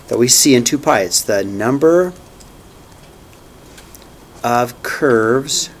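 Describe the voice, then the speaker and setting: A man teaching a math class, lively and repetitive, often checking in with "okay?" and "right?". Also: mid-range voice, slight background noise. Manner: energetic, repeats key points, asks "okay?" and "right?" often. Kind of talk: classroom lecture.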